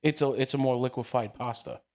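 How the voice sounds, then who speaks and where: A man's voice: mid-range voice, fairly even intonation, brisk, darkish tone. An Italian-American man co-hosting a casual chat, argumentative, swearing casually and laughing often. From United States. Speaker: podcast co-host.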